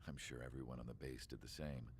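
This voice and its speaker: Game cinematic narrator: wistful military researcher, extremely low gravelly voice, measured delivery, faint background noise. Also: male voice, fairly even intonation. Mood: measured, reflective. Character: reflective male military researcher recounting the past.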